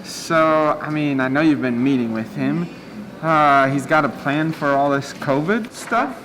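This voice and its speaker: A man playing a skeptical, fretful friend in a radio play, halting and questioning as he talks. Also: on the high side, background noise, natural voice.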